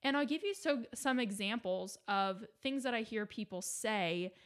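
A woman's voice: a little high, animated, moderate pace. A young woman hosting a casual solo podcast, chatty and full of "like" and "right?", sounding a little congested from a cold. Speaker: podcast host.

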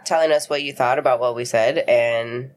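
A woman's voice: a bass voice, normal speed. A woman co-hosting a podcast in casual chat, blunt and outspoken. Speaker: podcast co-host.

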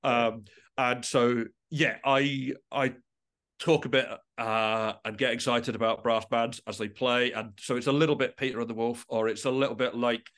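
A man's voice: medium pitch, little pitch movement, moderate pace, a bit bright. A man speaking as a musician guest in a casual podcast interview, with an informal, self-deprecating and enthusiastic way of talking.

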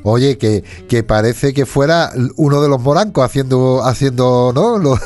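A man hosting a casual interview, chatty and quick to joke and laugh. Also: medium pitch, darkish tone. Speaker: radio host. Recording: faint guitar music behind.

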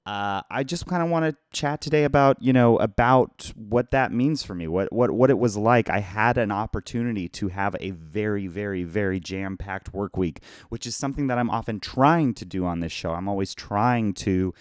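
A hoarse, tired man hosting a casual live-streamed podcast from his phone, rambling and chatty as he thanks viewers by name. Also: fairly low pitch, normal speed, hardly any pauses, dry recording, studio quality. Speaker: podcast host.

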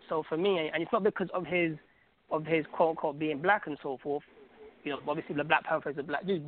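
A man with a fairly high voice talks over a thin, phone-like call. He is from Algeria and chats casually but emphatically, full of slang and repeated phrases, as a guest calling in to a show. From Algeria, North Africa. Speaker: guest caller on a radio podcast. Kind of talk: casual opinionated chat. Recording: phone-like, narrow tinny call sound.

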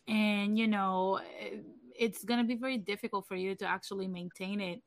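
A woman from Puerto Rico hosts a casual livestream chat. She talks in a loose, chatty way and leans on fillers. Her voice sits at a middle pitch, and the sound is dry and close. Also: fairly even intonation, moderate pace, dry recording. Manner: chatty, many fillers like "you know". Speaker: livestream host.

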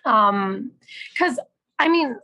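Raspy-voiced young woman, dry sound. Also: fairly high pitch, extremely slow, dry recording, poor audio. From Canada. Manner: animated storytelling, laughs often, swears. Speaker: podcast guest, musician. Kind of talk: casual chat.

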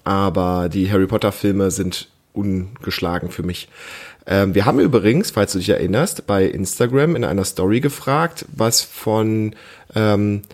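Tiefe Männerstimme im lockeren Gespräch. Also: male voice, lowish voice, moderate pace. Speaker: podcast host, parent talking with child. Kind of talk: casual family podcast chat.

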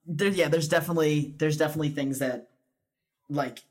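A man's voice: on the high side, fairly even intonation, brisk. A man in a casual conversation, responding with agreeable, loosely phrased remarks. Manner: soft, agreeable, filler-heavy.

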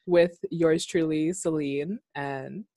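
Low-voiced young woman chatting casually. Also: fairly even intonation, takes it slowly, mellow, no echo, clean studio sound. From Bahamas. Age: 20s. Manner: chatty, laughs easily. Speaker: podcast co-host. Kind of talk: casual chat. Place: her own room.

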